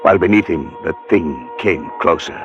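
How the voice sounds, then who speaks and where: An actor playing an urbane English academic with a British accent in an old-time radio thriller, in measured, articulate, dramatic delivery. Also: male voice, sits low, fairly bright tone, soft background music. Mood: grave, haunted. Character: skeptical, urbane English academic recounting his growing dread. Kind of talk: old-time radio drama acting and first-person narration. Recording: vintage radio broadcast recording.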